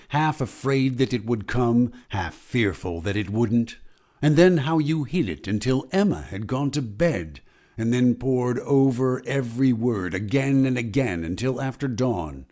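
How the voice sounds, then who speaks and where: A man's voice: medium pitch, expressive, unhurried, a little mellow, natural voice. A man playing a knowing storyteller in old-time radio suspense, dramatic and insinuating as he addresses the heroine.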